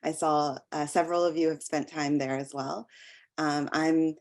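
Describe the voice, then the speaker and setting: An American woman, an academic researcher, giving an explanatory slide lecture in a halting way with frequent pauses and ums. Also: low voice, fairly even intonation, a little mellow. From United States.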